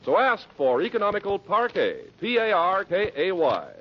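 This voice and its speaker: A man's voice: high-pitched, sing-song, moderate pace. A man announcing in the scripted style of a 1940s American radio show. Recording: dull vintage radio recording.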